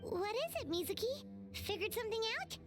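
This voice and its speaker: A woman voicing a small, chatty, childlike fairy companion in an anime game, with a squeaky voice. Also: big pitch swings, brisk, over music. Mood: uneasy.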